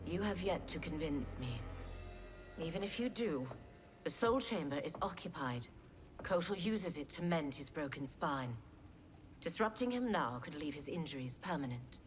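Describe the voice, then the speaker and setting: Proud suspicious warrior woman, low voice, very swoopy delivery, game music behind. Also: animated, moderate pace. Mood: guarded. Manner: defiant, scornful. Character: proud, suspicious female royal guardian warrior. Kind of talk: dramatic video game acting.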